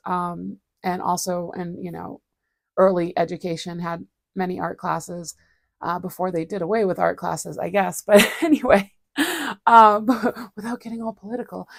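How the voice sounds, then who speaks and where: A woman, chatting casually and rambling as she hosts a relaxed art livestream, laughing often and poking fun at herself. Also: medium pitch, creaky, no echo, clean studio sound. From Boston area, USA. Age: 40s. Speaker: livestream host and artist. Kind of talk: casual chat. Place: home studio.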